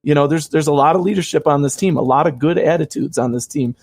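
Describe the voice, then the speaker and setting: A male host of a live fan show talks in a husky, slightly high voice. He is upbeat, laughs easily and reads out viewer questions. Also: fast, a little mellow. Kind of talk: casual live-stream chat.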